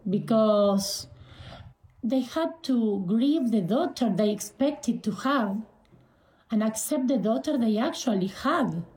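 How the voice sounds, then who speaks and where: A woman in her forties from Argentina, answering interview questions in a halting way, with frequent pauses and restarts. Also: medium pitch, warm tone, reverberant, low-quality recording. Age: 40s. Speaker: guest.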